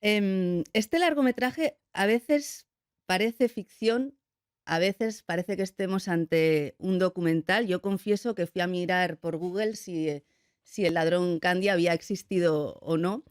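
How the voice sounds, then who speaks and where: A woman interviewer asking measured questions on a radio show. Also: fairly low pitch, clean tone, no room sound, studio quality.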